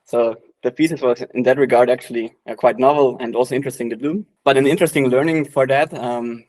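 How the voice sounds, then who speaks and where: Relaxed man in his late twenties. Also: medium pitch, fast talker, rough recording. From Austria. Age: late 20s. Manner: relaxed, many fillers like "uh" and "yeah". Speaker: private investor guest. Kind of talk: casual interview answers.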